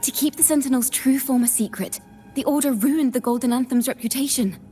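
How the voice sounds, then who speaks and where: A woman voicing a thoughtful, inquisitive young female bard in a video game, speaking softly and in a measured, reflective way. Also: sits high, natural voice. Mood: inquisitive, reasoning. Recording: music behind the voice.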